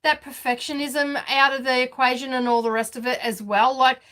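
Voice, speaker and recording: A woman's voice: high-pitched, little pitch movement, a little fast, a bit bright. A woman, hosting a casual live sewing chat from her home studio, chatty and laughing often. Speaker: sewing vlog host.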